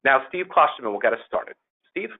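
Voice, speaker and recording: A man's voice: mid-range voice, animated, brisk. Man hosting over a tinny phone line. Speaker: host introducing speakers. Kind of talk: scripted introduction on an investor conference call. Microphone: phone or webinar call.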